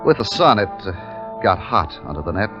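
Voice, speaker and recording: Low-voiced army captain, old radio drama. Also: male voice, very animated, fairly quick. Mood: wistful, dreamy. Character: weary, commanding British army captain narrating his wartime mission. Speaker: narrator and commanding officer. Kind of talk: 1950s radio drama acting. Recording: dull 1950s radio recording with music.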